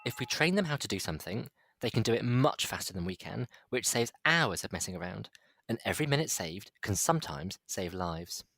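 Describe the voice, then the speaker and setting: A woman's voice: a bass voice, sing-song, a little fast, gravelly, a bit bright, breathy edge, no room sound, voice pushed down, heavily acted. A woman voicing a sly, persuasive harlequin jester with a coaxing, theatrical manner in an audio play.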